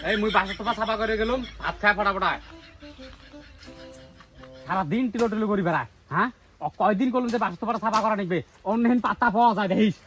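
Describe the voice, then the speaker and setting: Man playing a shrill, fast-scolding village father. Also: a bit bright, faint music behind. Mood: nagging. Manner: scolding, nagging. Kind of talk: comedy skit dialogue.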